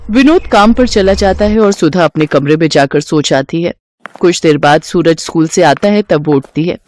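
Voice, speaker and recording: A woman's voice: on the low side, normal speed, darkish tone. A woman narrating a scripted family moral story.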